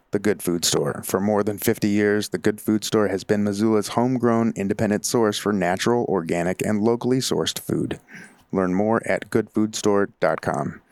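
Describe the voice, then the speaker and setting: A man hosting a podcast, reading sponsor thanks and introductions in a steady, even way. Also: on the low side, smooth, no echo, clean studio sound. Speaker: podcast host. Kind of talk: read sponsor announcements.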